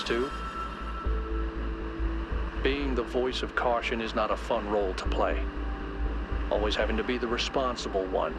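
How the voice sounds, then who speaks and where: An actor playing a cautious, responsible expedition member in a video game, a man with a measured, serious manner. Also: medium pitch, heavy background noise.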